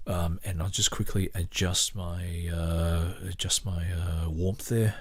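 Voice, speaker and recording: A man with a fairly low voice hosts a podcast, commenting casually on a book he reads from. He rambles and halts as he thinks aloud, with a sardonic edge to his delivery. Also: flat delivery. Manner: halting, sardonic, mock-surprised at times. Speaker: podcast host.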